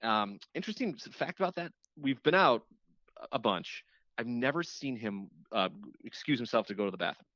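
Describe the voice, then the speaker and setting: A man's voice: medium pitch, animated, moderate pace, sharp tone, no room sound. Man chatting reflectively, slightly dull sound. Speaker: radio host appearing as a podcast guest. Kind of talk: casual conversational interview.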